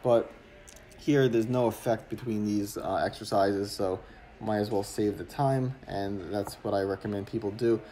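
Relaxed young man chatting to camera. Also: on the low side, normal speed. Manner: relaxed, explanatory, filler-heavy. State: getting sick. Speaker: fitness vlogger. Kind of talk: casual vlog talk to camera.

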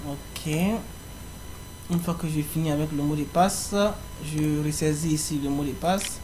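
A young man giving a screen-recorded walkthrough of an online application, pausing often with fillers as he explains each step. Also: a little high, level tone, warm tone. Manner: halting, frequent fillers. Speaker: tutorial presenter. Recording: faint background noise.

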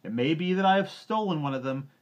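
A man's voice: low-pitched, steady tone, normal speed, husky. Man playing a proud, defiant jungle boy. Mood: scornful. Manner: bold, commanding, archaic phrasing. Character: proud, defiant wolf-raised boy, scornful.

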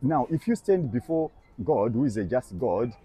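A man's voice: mid-range voice, big pitch swings, moderate pace, dark tone, slightly rough, soft background music. A young man preaching on a busy shopping street, talking earnestly and persuasively to a passer-by and repeating scripture back line by line. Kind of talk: street evangelism conversation.

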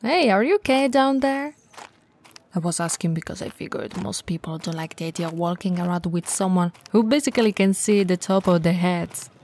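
A woman playing a tall, friendly, teasing bento shop owner in an intimate ASMR roleplay, with a breathy voice and a casual, chatty manner. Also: mid-range voice, darkish tone, a little hiss or hum. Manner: casual, playful, hesitant and chatty.

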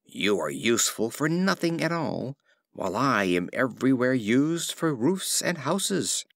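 A man's voice: mid-range voice, very animated, a little slow, vocal fry, no echo, studio quality, heavily acted. A man voicing a boastful, scornful fir tree in a storybook fable.

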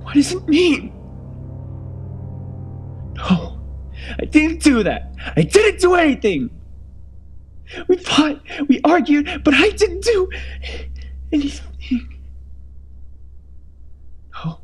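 A woman voicing a terrified, guilt-ridden husband in a first-person horror story, halting and breathy, often dropping to a whisper. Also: sits high, big pitch swings, heavily acted. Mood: desperate denial, then horrified realization. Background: background music.

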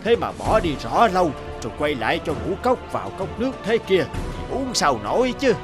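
An actor voicing a vain, spiteful middle-aged feng shui master in a cartoon folk tale, theatrical and grumbling. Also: male voice, high voice, very animated, normal speed. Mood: irritated, resentful. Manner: theatrical, muttering complaints, falsely polite. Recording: music behind the voice.

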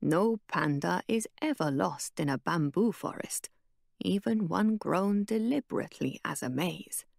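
A woman voicing a calm, cryptic old woman who speaks in a low, hushed, measured way. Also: creaky, no echo, clean studio sound, heavily acted.

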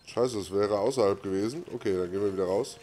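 A man's voice: fairly low pitch, quick pace, dark tone. A man doing casual let's play commentary as a gaming host, thinking aloud while he plays. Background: game music and sound effects.

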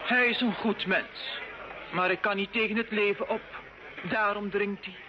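A woman's voice: mid-range voice, fairly even intonation, measured pace, highs cut off, close to an everyday voice. Actress as a wary tavern girl, noisy old recording. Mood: earnest. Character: wary, softhearted tavern girl in medieval Rouen. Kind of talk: old radio drama acting.

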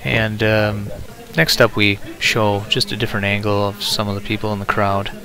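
A man's voice: fairly low pitch, level tone, normal speed, crowd noise, poor audio. A man narrating a video of his auction in an easygoing, casual way, with fillers. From northern Wisconsin. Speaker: antiques dealer narrating.

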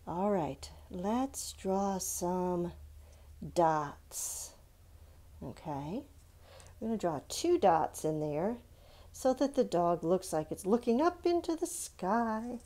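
Lady walking little kids thru a drawing step by step, unhurried, soft mellow voice. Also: lowish voice. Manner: simple, encouraging, instructional, asks the listener questions. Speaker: children's drawing teacher. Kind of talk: step-by-step art tutorial for young children.

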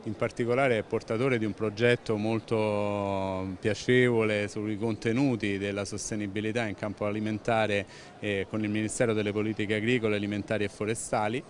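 An Italian-accented man in his fifties speaks in a fairly low voice, answering a reporter's questions with steady earnestness and scattered "eh" fillers. He talks into a handheld microphone held close. A large expo hall carries a clear hum of noise behind him. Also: a little fast. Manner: fluent, earnest, frequent "eh" fillers and restarts. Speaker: president of an environmental organization. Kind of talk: on-site interview answers. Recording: noisy venue background.

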